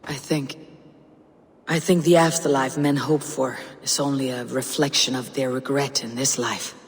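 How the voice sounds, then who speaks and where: An actor playing a stoic, compassionate Viking warrior in a video game, measured, sombre and earnest. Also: male voice, mid-range voice, steady tone, breathy edge. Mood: reflective. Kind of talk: dramatic video game acting.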